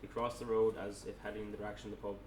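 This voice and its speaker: A man narrates a screenplay at a table read in a plain, matter-of-fact way. His voice is lowish and very even in pitch. It is picked up from far off in a noisy, echoey room. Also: very fast. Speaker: narrator reading stage directions at a table read. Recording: echoey room, distant mic, noisy background.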